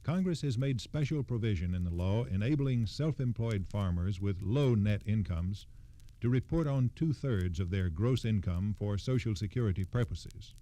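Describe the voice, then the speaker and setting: Mellow, dry-sounding male radio storyteller. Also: lowish voice, lively intonation, normal speed, dry recording. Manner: formal, explanatory. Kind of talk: scripted 1960s radio narration.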